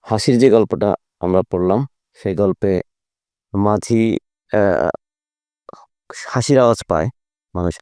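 A man's voice: lowish voice, measured pace, long pauses, warm tone, clean tone, dry recording, studio quality. A man from Bangladesh with a Bangladeshi accent, chatting casually in a group discussion. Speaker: participant in a group chat. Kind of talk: casual chat.

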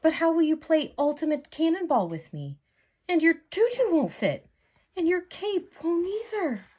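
A woman's voice: very high-pitched, very animated, normal speed, warm tone, pitched above the performer's own voice. A woman voicing an anxious, exasperated jellyfish, fretful and incredulous, in a picture-book read-aloud. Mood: alarmed, worried.

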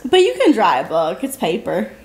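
A woman's voice: mid-range voice, wildly swooping pitch, normal speed, darkish tone. A young adult woman co-hosting a podcast, chatting casually in an unbothered, matter-of-fact way. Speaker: podcast co-host. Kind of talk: casual chat. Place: living room, on a couch.

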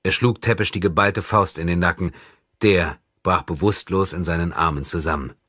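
Very deep, husky male narrator. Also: steady tone, normal speed, fairly bright tone, no echo, studio quality. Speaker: audiobook narrator. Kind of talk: scripted dramatic literary narration.

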